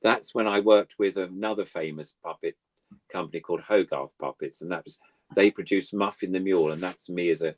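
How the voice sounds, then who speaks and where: A man's voice: low voice, brisk, no room sound. An older man chatting casually as a guest, reminiscing in an effusive, rambling way. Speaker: guest puppeteer.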